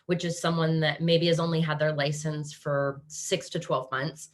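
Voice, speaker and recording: A woman's voice: low voice, little pitch movement, normal speed. Upbeat American Midwest woman hosting online. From Kansas, USA. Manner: upbeat and encouraging, with frequent fillers and restarts. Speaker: webinar host. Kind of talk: hosting a live online panel. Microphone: video call.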